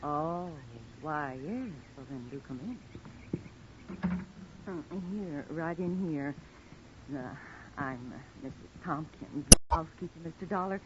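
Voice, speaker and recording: An actress playing a prim, hesitant elderly housekeeper, formal and disapproving, in an old-time radio drama. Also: female voice, deep voice, animated, takes it slowly. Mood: flustered, formal. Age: older. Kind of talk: 1940s-50s radio acting. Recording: noisy, muffled old radio recording.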